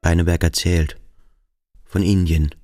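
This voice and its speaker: An actor playing a brooding boarding-school pupil in a literary radio play, thinking aloud in a measured, introspective way as he coolly scrutinizes his companion. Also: low voice, flat delivery, dark tone. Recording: studio radio play with music behind.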